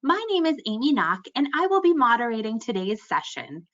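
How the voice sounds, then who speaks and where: A woman's voice: on the high side, sing-song, brisk, a bit bright, lo-fi sound. A woman moderates a webinar and reads out polite, scripted housekeeping announcements.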